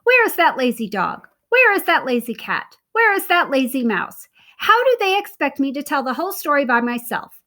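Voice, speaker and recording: A woman's voice: high-pitched, very animated, moderate pace, sharp tone, voice pushed up, full character voice. A woman voicing a fussy, indignant little red hen in a storybook, complaining shrilly.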